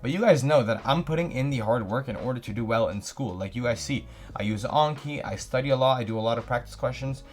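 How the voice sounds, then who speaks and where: A young man from Canada in his twenties talks quickly and eagerly straight to the camera. His voice sits at a middle pitch, and his delivery is upbeat and chatty. He reads each viewer question aloud and then answers it in long, friendly runs. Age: 20s. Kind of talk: casual Q&A vlog talking to camera.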